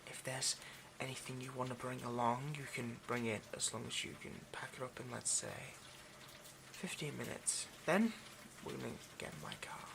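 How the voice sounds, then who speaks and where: A man playing a possessive, condescending rich vampire in an intimate ASMR roleplay, domineering and hushed, often slipping into a whisper. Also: mid-range voice, moderate pace, gravelly, noisy background, close to an everyday voice. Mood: menacing, commanding.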